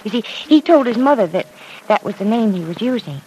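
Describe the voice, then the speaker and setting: A woman's voice: medium pitch, lively intonation, a little fast, warm tone, a little hiss or hum, close to an everyday voice, low-quality recording. An actress playing a kind, well-off young woman in a 1940s radio drama, explaining things in an earnest way.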